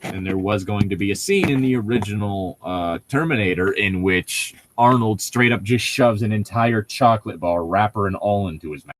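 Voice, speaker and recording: A man's voice: on the low side, normal speed, hardly any pauses, rough recording. A man chatting casually as a co-host, explaining things in a rambling, nitpicky way. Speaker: podcast co-host reading and riffing on a novel. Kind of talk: casual banter and commentary.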